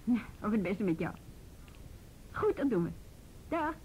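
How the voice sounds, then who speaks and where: A woman's voice: big pitch swings, unhurried, darkish tone, rough recording. Wry, plain-spoken woman bureau chief for radio drama, mid-range voice, weary and dry. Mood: casual, concerned. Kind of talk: naturalistic Dutch radio drama acting. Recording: rumbly radio play with faint noise.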